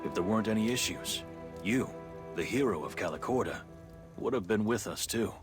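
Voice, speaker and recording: Guy voicing easygoing game hero's buddy, lowish steady male voice, loud music under it. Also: normal speed, close to an everyday voice. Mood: earnest explaining. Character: easygoing male rune hunter, steady companion. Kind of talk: video game cutscene acting.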